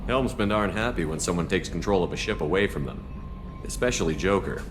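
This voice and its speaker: Low, brisk military commander. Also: male voice, expressive, over music. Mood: serious, guarded. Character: stern, decisive military commander, adult man. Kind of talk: video game voice acting.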